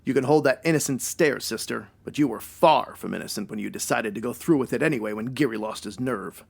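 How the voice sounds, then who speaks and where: A man's voice: mid-range voice, brisk, gravelly, breathy edge. A man playing a wry, self-assured ex-cop private detective in a 1940s radio noir style, full of wisecracking slang. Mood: accusing, wry. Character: male ex-cop private detective, wry, hard-boiled, sure of himself.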